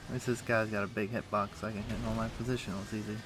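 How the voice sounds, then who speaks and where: A man's voice: fairly low pitch, flat delivery, racing, background noise. A man streaming a game, chatting casually and thinking aloud in an easygoing, musing way. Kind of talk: casual chat while playing an online game.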